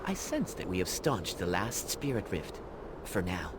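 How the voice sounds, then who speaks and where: An actor playing a grave, foreboding mystic in a video game, speaking formally and earnestly. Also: male voice, sits low, very animated, measured pace, very noisy. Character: grave, foreboding male mystic ally of a warrior. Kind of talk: scripted video game cutscene acting. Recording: loud music behind the voice.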